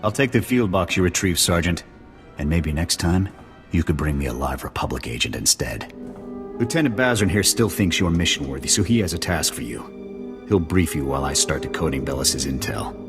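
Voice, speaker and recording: An actor playing a stern, dismissive military officer in a video game, sarcastic and commanding. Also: male voice, rumbling low, animated, moderate pace, music in the background. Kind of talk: video game cutscene acting.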